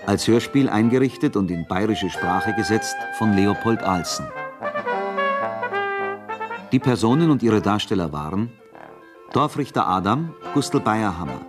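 Low-voiced male radio announcer over brass. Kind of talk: scripted credits announcement. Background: brass music.

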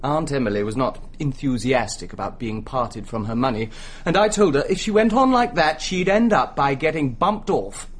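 A man's voice: medium pitch, animated, moderate pace, husky. An actor playing a flippant, roguish young upper-class Englishman in a radio drama, breezy and charming.

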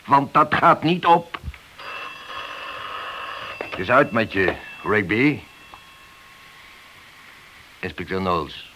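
A man acting in a radio play as a stern, accusing senior police inspector who speaks deliberately. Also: on the low side, big pitch swings, bright, forceful tone, slight background noise, heavily acted, poor audio.

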